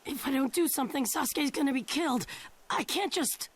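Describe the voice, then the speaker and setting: A woman voicing an earnest, headstrong teenage ninja boy in a video game dub, with some rasp in the voice. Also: sits high, a little fast, slight background noise. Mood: determined. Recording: music under the dialogue.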